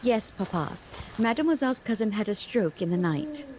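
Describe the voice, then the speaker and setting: An actress playing a sheltered, wistful young gentlewoman, telling her story formally in a radio drama. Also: on the high side, lively intonation, moderate pace, a crowd in the background, close to an everyday voice. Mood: polite, guarded. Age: young adult. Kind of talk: radio drama narration and dialogue.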